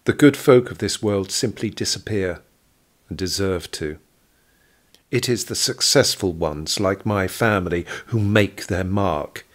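Man voicing a haughty, slow-spoken wealthy lady. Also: low-pitched. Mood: scornful. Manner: haughty, deliberate, disdainful. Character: cold, haughty wealthy lady, contemptuous lover. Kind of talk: period audio drama dialogue.

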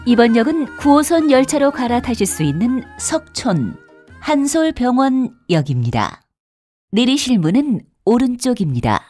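A woman's voice: on the high side, big pitch swings, normal speed, dark tone, faint music behind. A woman reading scripted transit announcements in a formal, polite manner with careful enunciation. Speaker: subway announcer.

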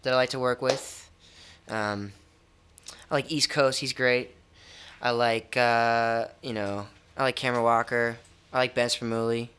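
Young man, American accent, bright sharp tone, mid pitch, casual interview chat, hesitant, repetitive phrasing. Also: steady tone, measured pace, clear, resonant tone. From United States. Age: young adult. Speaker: musician being interviewed. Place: indoor music studio. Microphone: stand microphone.

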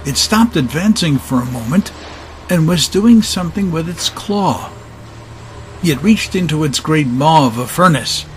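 A man's voice: a little high, expressive, a little slow, a little hiss or hum, poor audio. A man narrating a steampunk adventure audio drama as a scripted, dramatic storyteller. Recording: music under the narration.

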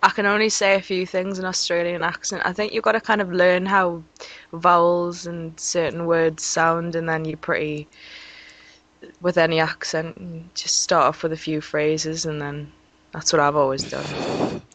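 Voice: mid-range voice, little pitch movement, normal speed, fairly bright tone, no echo. A chatty speaker who laughs easily, talking on a group voice call. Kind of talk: casual group voice chat.

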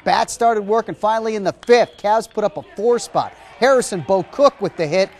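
A middle-aged man anchoring sports news in a TV studio, reading scripted stories and calling highlights. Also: normal speed, darkish tone.

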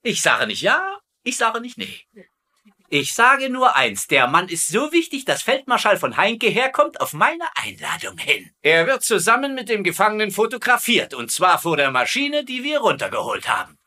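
An actor playing a vain, gullible, middle-aged German camp commandant with a pompous manner in a sitcom dub. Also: male voice, sits high, huge pitch swings, moderate pace, pushed and bright, exaggerated voice. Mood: smug, gloating. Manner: pompous, repeating tag questions. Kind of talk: dubbed sitcom acting.

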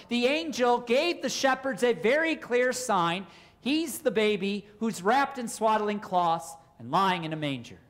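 A man, a pastor preaching a sermon in a deliberate, explanatory way with rhetorical questions. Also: sits high, fairly bright tone. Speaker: Lutheran pastor. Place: church, at a pulpit indoors.

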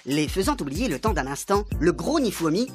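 A woman's voice: on the low side, sing-song, a little fast, over music. A woman giving wry, tongue-in-cheek scripted narration for a comic radio soap.

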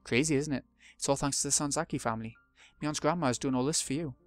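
A man's voice: medium pitch, fast talker, no echo, faint music behind, natural voice. A man voicing an earnest, eager boy reassuring a friend.

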